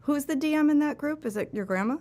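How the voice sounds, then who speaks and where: A woman's voice: high voice, fast, mellow. A woman chatting casually and playfully as a podcast co-host, teasing the others a little. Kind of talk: casual chat.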